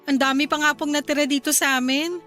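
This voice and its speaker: A sweet, high-voiced young wife. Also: female voice, fairly even intonation, fast, bright, forceful tone. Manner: sweet, polite to elders. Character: caring young wife and mother, eager host. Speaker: wife character. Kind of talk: radio drama acting. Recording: faint music bed behind.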